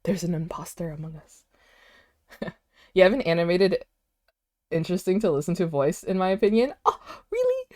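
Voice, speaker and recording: Animated, chatty woman streamer. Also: lowish voice, normal speed, long pauses. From United States. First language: Vietnamese. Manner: animated, chatty, laughs often, many "like" fillers. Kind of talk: casual livestream chat, reading chat aloud.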